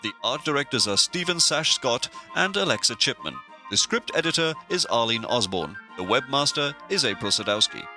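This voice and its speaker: An actor playing a suave, pompous narrator in an audio sitcom, speaking formally and deadpan. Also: male voice, medium pitch, moderate pace, few pauses, soft background music. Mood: formal, mock-solemn. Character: suave, pompous male narrator who steps into the story.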